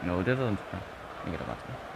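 A man with a regional Korean accent chatting casually over a football video game, his voice a little husky from just waking up, breaking into loud excited shouts. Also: sits low, normal speed, very noisy. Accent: regional Korean dialect. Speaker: gaming channel host. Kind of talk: live gameplay commentary. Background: game music.

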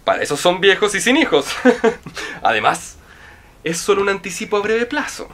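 An actor playing a flippant, idle, money-grubbing young fiancé in a radio drama. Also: male voice, high voice, big pitch swings, normal speed, a bit bright. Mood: breezy, scheming.

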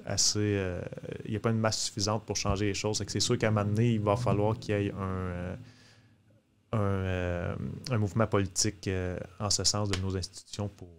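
Low, even-voiced Quebec man, halting. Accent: Quebec French. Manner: hesitant, halting. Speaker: podcast guest. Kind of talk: casual explanatory discussion. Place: small podcast studio, indoors. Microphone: close studio mic on boom arm.

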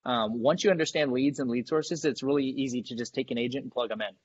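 A man in his thirties from San Diego talks through earbuds on a video call from his dining room. He speaks at an ordinary pitch and explains things briskly and matter-of-factly, with a slightly dull, lo-fi sound. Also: darkish tone, slightly rough, dry recording. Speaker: guest, team leader. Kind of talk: casual video-call interview.

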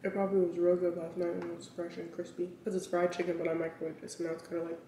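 A young woman vlogging from her kitchen and closet, her lowish voice a little raspy from a cold. She rambles with plenty of fillers, sometimes while eating. The camera sits a bit away from her, so the sound is roomy and echoey. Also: completely flat delivery, fast, warm tone, a little hiss or hum. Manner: chatty, filler-heavy, talks while eating. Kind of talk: casual vlog chat. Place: home kitchen and walk-in closet. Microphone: camera set on counter at arm's length. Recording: echoey room, somewhat far from mic.

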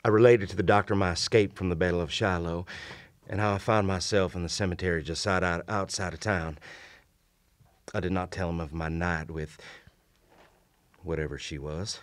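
An actor playing a haunted, wounded Civil War soldier who narrates a radio drama in a grave, formal, measured manner. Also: male voice, sits low, steady tone, dry recording, natural voice. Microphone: shared vintage-style studio microphone.

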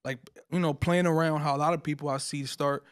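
A man's voice: fairly high pitch, steady tone, brisk, clear, resonant tone. A young man from New Jersey, a rapper guest, talking casually and laid-back into a studio mic on a couch. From Paterson, New Jersey. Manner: laid-back, slangy, repeats phrases. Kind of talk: casual interview chat. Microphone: studio mic on boom arm.

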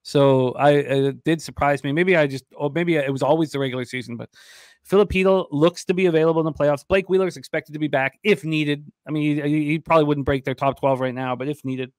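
A man's voice: on the high side, steady tone, fairly quick, no echo. A middle-aged man hosting a sports betting show, breaking down games conversationally in short, emphatic statements, close on a desk microphone. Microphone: large desk microphone, close.

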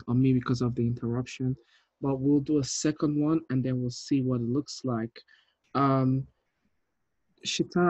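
A man's voice: mid-range voice, dark tone, no echo. Guy in his 30s casually reading a script and giving notes, dry muffled call audio. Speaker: director reading a screenplay at a table read. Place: indoor room on a video call. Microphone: video call with earpiece. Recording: dull video-call audio.

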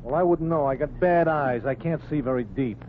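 An actor playing a wry, put-upon American bus driver, plain-spoken and grudging, in 1940s radio comedy style. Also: male voice, a little high, normal speed, close to an everyday voice. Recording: tinny, noisy 1940s radio recording.

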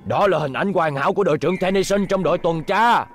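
Scheming middle-aged cartoon villain, smug and calculating, music behind. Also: male voice, sits high, fast talker. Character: scheming middle-aged male hotel manager, secret gang boss. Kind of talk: dubbed cartoon acting.

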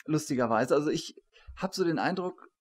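A man's voice: on the high side, animated, fairly quick. A man in his forties hosting an academic interview, putting long discursive questions with many qualifications, at a desk microphone indoors. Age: 40s. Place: small attic room, indoors. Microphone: studio microphone on desk.

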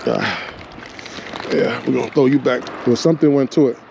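A man's voice: medium pitch, moderate pace, vocal fry, background noise. A man fishing from a rocky shore outdoors, talking to himself and to the fish in casual running commentary, picked up by a body-mounted action camera. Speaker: fishing vlogger.

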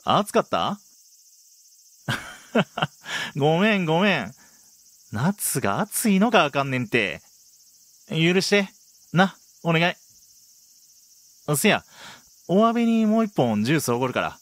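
A man's voice: fairly high pitch, very animated, takes it slowly, long pauses, a bit bright, dry recording, full character voice. A man playing a teasing, laid-back young delinquent with a Kansai accent in an intimate Japanese roleplay. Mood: amused, apologetic.

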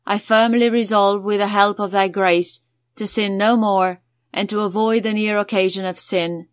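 A woman reciting prayers in a slow, reverent, measured way. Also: medium pitch, fairly even intonation, no echo, clean studio sound. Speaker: prayer leader.

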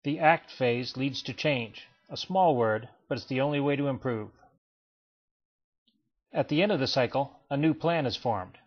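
A man's voice: mid-range voice, faint music behind. Man narrating a slideshow, dull lo-fi sound. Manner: measured, even explanatory delivery. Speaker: presenter of a training slideshow. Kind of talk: scripted voice-over narration. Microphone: voice-over, not recorded in pictured place. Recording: dull lo-fi voice-over.

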